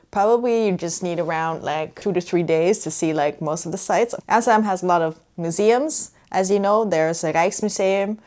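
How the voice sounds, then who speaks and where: A woman's voice: fairly low pitch, a little mellow. A young woman from the Netherlands talking casually and easily to camera for a travel vlog, indoors by a window. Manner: chatty, easygoing, laughs often. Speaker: travel vlogger.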